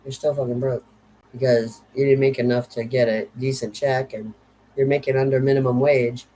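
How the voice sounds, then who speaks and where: A woman's voice: a bass voice, steady tone, normal speed, warm tone, slight background noise, low-quality recording. A woman in her fifties delivering an unscripted rant to camera, blunt and profane, circling back over the same points with sardonic humor. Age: 50s. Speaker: vlogger.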